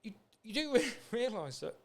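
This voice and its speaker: British guy hosting a podcast, pretty high voice, chatty and rambles through stories. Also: big pitch swings, unhurried, a bit bright. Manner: informal, rambling, anecdotal. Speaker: podcast host. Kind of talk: casual chat.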